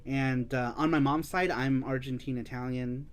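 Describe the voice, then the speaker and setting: A man from the United States hosting a live-streamed show, chatting casually as he reads viewer comments. He is animated, laughs often, swears freely and slips into Spanish. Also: medium pitch, moderate pace, a little hiss or hum. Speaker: host of a live-streamed retro gaming show.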